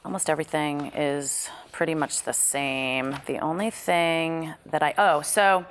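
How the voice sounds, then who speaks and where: A woman's voice: deep voice, steady tone, a little slow, a little hiss or hum. A woman talking casually through a script as an actor, precise about wording and reading lines out as she checks them. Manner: precise, detail-minded, asks for approval. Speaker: actor at a script table read.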